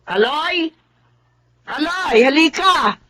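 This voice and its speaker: A woman's voice: high-pitched, lively intonation, slow, pushed and bright, exaggerated voice. A woman with a Filipino accent acting a kindly middle-aged aunt in a radio drama, motherly and reassuring. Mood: cheerful, bustling.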